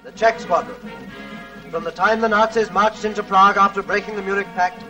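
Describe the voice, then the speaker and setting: A man's voice: high-pitched, fairly even intonation, fairly quick, sharp tone, soft background music. A man narrating a vintage newsreel in a dramatic, declamatory way, heard as distant old archive audio.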